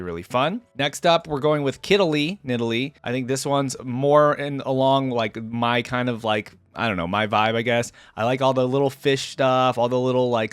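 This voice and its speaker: A man's voice: medium pitch, clear, resonant tone, dry recording. A man hosting a casual reaction video, thinking aloud in a rambling way. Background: game music.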